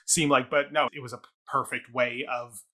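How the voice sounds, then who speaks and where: Male co-host, bright forward voice, conversational but analytical, qualifies his points. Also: mid-range voice, little pitch movement, moderate pace. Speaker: theatre review show co-host. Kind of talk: casual analytical review discussion.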